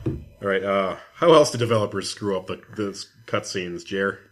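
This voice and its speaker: A man's voice: low-pitched, expressive, moderate pace, fairly bright tone, slight background noise. A man co-hosting a gaming podcast, chatting casually in a wry, joking, informal way. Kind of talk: casual chat about video games.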